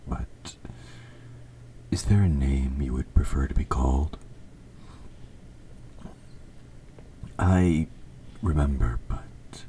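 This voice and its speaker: Guy playing a super low voiced evil king, dark and mellow, polite but quietly menacing, formal fantasy roleplay, bit of background noise. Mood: gracious, probing. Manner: formal, courtly, measured. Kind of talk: scripted audio roleplay addressed to the listener.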